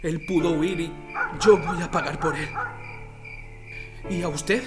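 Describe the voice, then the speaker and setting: Actor as a slow, high-voiced young prisoner. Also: male voice, steady tone, over music, close to an everyday voice. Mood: fearful, resigned. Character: frightened, resigned young prisoner, a unionist's brother. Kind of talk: radio drama acting.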